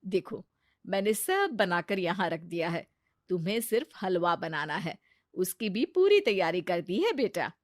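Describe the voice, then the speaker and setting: An actress playing a kind, protective middle-aged mother-in-law in a family story, gentle and reasoning. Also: lowish voice, very animated, unhurried, dry recording, clean studio sound. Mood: caring, instructing.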